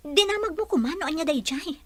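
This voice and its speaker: A woman's voice: high voice, lively intonation, fast, dry recording. An actress playing an anxious young woman who works in a household, speaking hurriedly in a radio drama.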